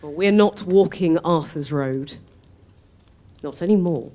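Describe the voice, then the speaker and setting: A woman's voice: low voice, a little slow, warm tone. An actress playing a blunt, protective, weary woman warrior in an audio drama, direct and dry. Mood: earnest, weary.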